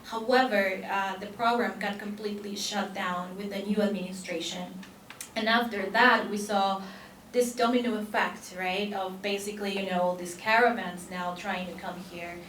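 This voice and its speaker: A Spanish-native woman from El Salvador speaks in earnest with a clear Salvadoran accent, her words broken by fillers and restarts. She is a conference panelist, heard from a distance in a roomy, echoing hall with a faint hum of room noise behind her. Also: medium pitch, steady tone, normal speed, fairly bright tone.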